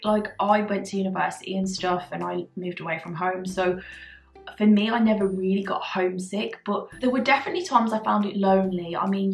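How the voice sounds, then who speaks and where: A woman in her twenties, chatting to camera in a lively, animated way from her living room, a little hoarse. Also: medium pitch, echoey room. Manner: chatty, animated, gestures a lot while talking. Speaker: vlogger, former cabin crew. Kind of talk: casual chat to camera. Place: bright living room at home. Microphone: camera in front at desk distance.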